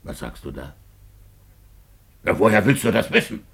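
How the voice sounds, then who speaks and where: An actor playing a middle-aged, grumbling, jealous small-town Russian police chief in a 1950s German radio play, gruff and officious with a husky voice. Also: male voice, lowish voice, big pitch swings, moderate pace, slight background noise. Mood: dismissive, startled. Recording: 1950s radio drama recording.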